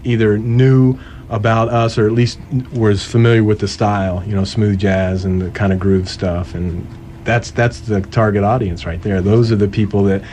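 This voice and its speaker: A man's voice: on the low side, flat delivery, normal speed, mellow, a little hiss or hum. A man with an American accent, a musician chatting casually as a radio interview guest.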